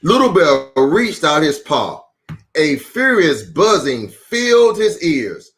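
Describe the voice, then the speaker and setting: A man hosting storytime for young children, reading and chatting in a playful, encouraging, deliberate way. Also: a little high, very animated, a bit bright, slight background noise. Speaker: children's storytime host, pastor. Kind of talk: animated picture-book reading and chat for young children.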